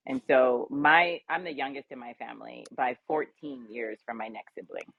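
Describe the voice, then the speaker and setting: An assertive American woman tells personal stories in a candid, emphatic style. Her voice is husky with plenty of fry. It comes through thin, phone-like audio. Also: rumbling low, normal speed. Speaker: round table guest, cannabis advocate. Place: remote audio chatroom call. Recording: roomy, tinny phone-like audio.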